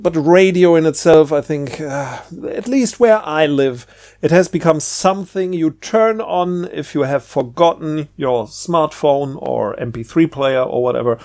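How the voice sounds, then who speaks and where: A man's voice: fairly high pitch, a little mellow. A man hosting a casual, reflective monologue, speaking in a hesitant, searching way and repeating words. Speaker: video host.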